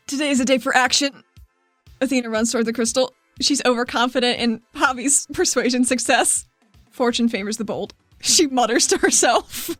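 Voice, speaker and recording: Woman, tabletop game player, slightly high voice, playful informal delivery, dry recording, soft music behind. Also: normal speed, no echo. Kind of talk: casual game chat and third-person character narration.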